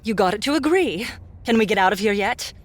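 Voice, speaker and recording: A woman's voice: medium pitch, expressive, fairly quick, lightly acted. A woman playing an impatient young adventurer, pushy. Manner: pushy, offhand pleading. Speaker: voice actor. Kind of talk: audiobook voice acting.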